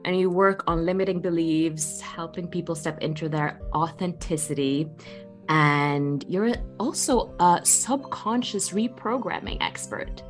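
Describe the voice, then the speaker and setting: A woman hosting a podcast who asks questions in a warm, enthusiastic, chatty way. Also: lowish voice. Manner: enthusiastic, gushing. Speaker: podcast host. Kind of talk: casual interview chat.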